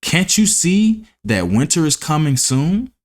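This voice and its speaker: A man's voice: on the high side, sing-song, unhurried, voice pushed up. A man voicing a hardworking, sensible ant in a children's storybook, earnest and admonishing. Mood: earnest warning. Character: hardworking, sensible, stern male ant who lectures. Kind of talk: children's storybook read-aloud.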